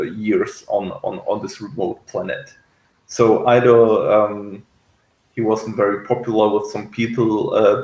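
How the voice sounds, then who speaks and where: A man's voice: on the low side, echoey room, poor audio. A man in a casual review discussion, speaking hesitantly and often searching for his words. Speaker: guest reviewer on a fan show.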